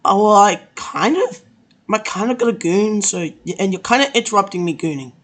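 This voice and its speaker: A man performing a crude, profane, improvised comic anime fandub with halting delivery. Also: high-pitched, lively intonation, fairly bright tone. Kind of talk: comedic improvised anime parody dub.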